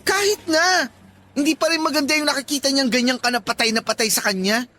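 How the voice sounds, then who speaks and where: A man's voice: very high voice, fast, bright, forceful tone. An actor playing a sassy, flamboyant young male best friend in a radio drama, teasing and scolding.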